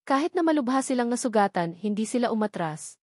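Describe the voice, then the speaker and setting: A woman reading scripted audiobook narration of an action novel in measured, formal sentences. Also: a little high, expressive, a little mellow, dry recording, studio quality.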